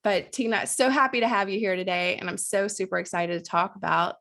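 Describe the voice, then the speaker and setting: A woman hosting a podcast interview, enthusiastic and effusive, a little nasal and raspy from allergies. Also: mid-range voice, a little fast, fairly bright tone. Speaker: podcast host. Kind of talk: conversational interview.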